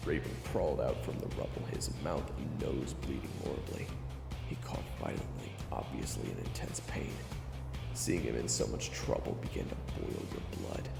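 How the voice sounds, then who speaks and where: Gravelly man narrating in heavy echo. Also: very deep voice, moderate pace. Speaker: narrator of an audio roleplay. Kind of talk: scripted dramatic second-person narration. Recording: echoey reverb, music behind.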